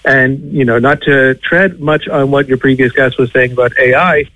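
A man's voice: medium pitch, steady tone, moderate pace, pushed and bright, poor audio. A man with an American accent tinged with Irish, explaining things conversationally as an academic guest, in a hedging, discursive way. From Boston, United States. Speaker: linguistics professor, radio guest.

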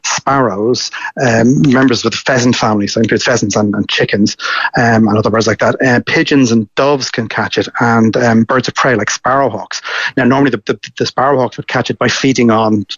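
A man's voice: mid-range voice, fairly even intonation, brisk, a bit bright, no room sound, lo-fi sound. A man, a bird conservation expert, giving earnest advice in a radio interview and often repeating words as he starts a sentence. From Ireland.

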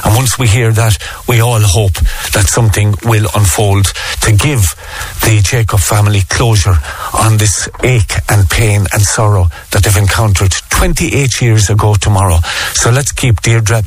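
A man's voice: lowish voice, measured pace, slightly rough, faint music behind, poor audio. A man with an Irish accent hosting live radio talk and interviews. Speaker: radio show host.

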